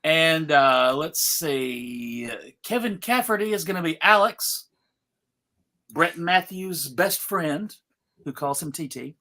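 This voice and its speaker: A host casually running a live event, announcing in a theatrical, mock-serious way with halting pauses. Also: medium pitch, animated, bright, forceful tone. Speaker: panel host and narrator.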